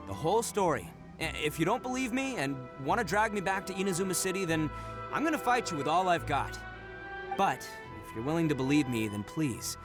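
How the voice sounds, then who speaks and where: A man voicing a boisterous, proud young male Oni gang leader in a game cutscene, given to hearty laughs. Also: fairly high pitch. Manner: boastful, hearty laughs, earnest when serious.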